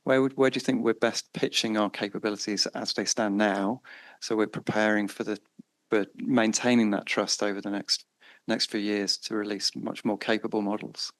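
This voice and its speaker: A man's voice: lowish voice, fairly even intonation, moderate pace, a bit bright, dry recording. A man moderating a panel, asking long, winding questions.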